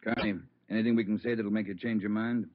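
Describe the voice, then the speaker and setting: A male actor plays a firm senior cop in a vintage radio police drama. He talks low and quickly in businesslike, clipped orders. The recording is thin and phone-like. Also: little pitch movement, lightly acted. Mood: firm, controlled. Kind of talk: old-time radio police drama acting.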